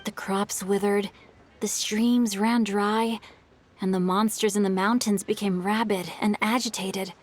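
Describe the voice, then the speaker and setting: A woman's voice: mid-range voice, steady tone, unhurried, natural voice. A woman voicing a bookish, courteous teenage boy scholar in a video game, articulate and thoughtful. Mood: pensive, explaining. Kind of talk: video game character dialogue. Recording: music behind the voice.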